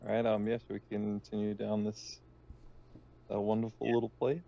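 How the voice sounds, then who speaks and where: Casting: male game player, low and monotone, casual delivery, muffled lo-fi audio. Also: moderate pace, vocal fry. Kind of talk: casual game-table chat. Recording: dull lo-fi voice audio.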